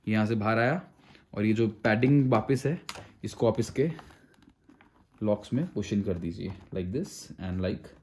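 A man's voice: on the low side, a little slow, long pauses, a little mellow, a little hiss or hum. A man explains a product step by step in a conversational vlog style, mixing in English phrases. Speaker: motorcycle gear reviewer. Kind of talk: casual hands-on product tutorial.

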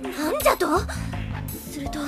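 A woman's voice: extremely high pitch, big pitch swings, normal speed, over music. A woman voicing an imperious, childish young princess in an anime audio drama, haughty, with archaic regal phrasing. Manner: haughty, old-fashioned phrasing.

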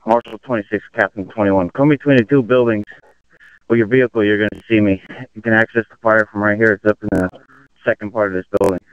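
Fire captain, male, lowish clear voice, two-way radio transmission during an emergency. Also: steady tone, fast talker, no room sound. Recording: muffled, band-limited radio transmission.

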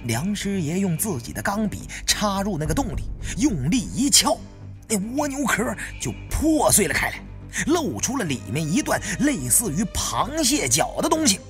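Animated male audiobook narrator, suspenseful. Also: high voice, moderate pace, over music. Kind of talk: dramatic scripted story narration.

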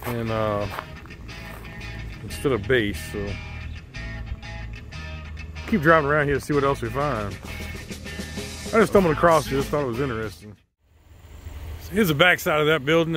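A man's voice: medium pitch, fairly bright tone. A middle-aged man giving casual, unscripted vlog commentary in a hesitant, rambling way. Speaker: vlog host.

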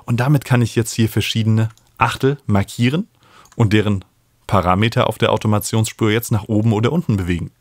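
A man, explaining music software as an instructor in a tutorial. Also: fairly low pitch, a little fast, no room sound. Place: small home studio, indoors. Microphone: studio microphone up close.

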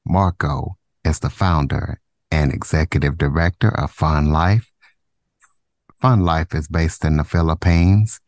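A man hosting a podcast, reading a measured introduction and asking his guest questions. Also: rumbling low, darkish tone, dry recording. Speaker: podcast host.

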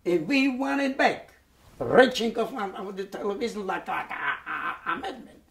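A man, giving oral history testimony in a halting way, with many repeated words and restarts. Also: high voice, expressive, creaky, fairly bright tone. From Poland. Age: 90s. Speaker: Holocaust survivor giving testimony. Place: dark studio set, indoors.

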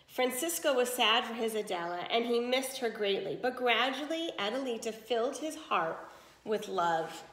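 A woman's voice: a little high, a bit bright. Woman reading to children, roomy echo. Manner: explanatory, checks understanding with questions. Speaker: read-aloud storyteller, teacher. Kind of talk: reading a picture book aloud to children with teaching asides.

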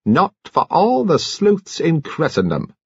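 A man voicing a nervous, fussy English gentleman with precious phrasing in a storybook reading. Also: a little high, huge pitch swings, slow pace, husky, no room sound, highs cut off, pitched above the performer's own voice, full character voice. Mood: fussy, guarded. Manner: fussy, precious phrasing, gabbling when upset.

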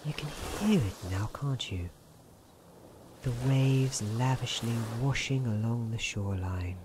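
A performer voicing a genial, theatrical narrator in a soft-spoken ASMR roleplay, speaking gently and formally with deliberate pauses. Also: mid-range voice, noisy background, natural voice. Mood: soothing. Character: genial, theatrical storybook narrator guiding someone to relax.

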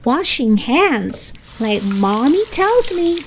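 A woman reading a picture book aloud to young children, expressively, with sing-song, deliberate phrasing. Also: sits high, big pitch swings, slight background noise. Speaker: children's story reader.

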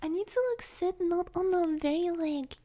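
A woman streaming ASMR, chatting softly with viewers close to a binaural microphone and often slipping into whispers. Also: very high voice, fairly even intonation, normal speed. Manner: soft-spoken, often dropping into whispers.

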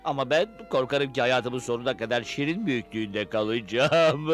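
A man's voice: mid-range voice, moderate pace, close to an everyday voice. A man voicing a grumpy, scheming little wizard in a cartoon dub, whining and sputtering with theatrical false sweetness. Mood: miserable. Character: grumpy, scheming male wizard shrunk small, feigning niceness. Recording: music behind the voice.

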